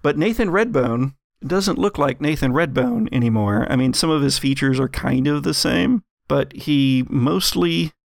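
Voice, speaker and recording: Gravelly male game master narrating. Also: medium pitch, animated, a little slow, dry recording, clean studio sound. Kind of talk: improvised gothic storytelling for actual-play podcast.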